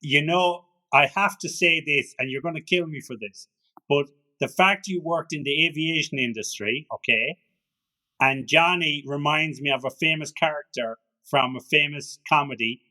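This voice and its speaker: A man's voice: on the high side, normal speed, pushed and bright, poor audio. A man hosting an interview, asking rambling, playful questions in a casual way. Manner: rambling, jokey questions with restarts. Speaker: podcast host, interviewer.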